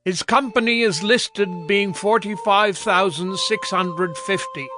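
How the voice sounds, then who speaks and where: A man with a high voice reads scripture slowly and formally. His delivery is declamatory, and prominent music plays behind him. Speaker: narrator.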